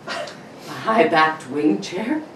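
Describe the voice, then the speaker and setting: A woman playing a nervous, desperate man in an old-time radio suspense play, pleading and halting. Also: low-pitched, lively intonation, a bit bright, exaggerated voice. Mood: haunted, urgent. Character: nervous, desperate, sleepless male neighbour obsessed with a corpse. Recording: echoey room from far off, faint noise.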